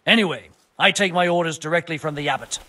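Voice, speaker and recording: A man's voice: on the high side, quick pace, bright, forceful tone. A man playing a blunt, stubborn, money-minded medieval builder in a video game, haggling grudgingly. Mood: dismissive. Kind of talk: video game cutscene acting.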